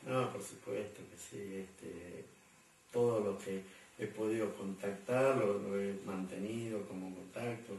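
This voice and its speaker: A low-voiced man with an Argentine accent and a gravelly edge. He answers at length in a loose, rambling way, full of fillers. He sounds recorded from a distance in a very echoey room over a faint hum. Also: fairly even intonation, a little slow, darkish tone. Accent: Argentine Spanish. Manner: rambling, many fillers and repeated words. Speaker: interviewee. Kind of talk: conversational interview answers.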